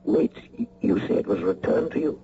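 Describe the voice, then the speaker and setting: A suspicious, commanding spymaster speaks formally and guardedly. His low voice is mellow but moves expressively, on a slightly noisy old recording. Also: huge pitch swings, fairly quick, gravelly, heavily acted. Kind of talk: 1980 radio drama acting. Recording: muffled old radio recording, faint noise.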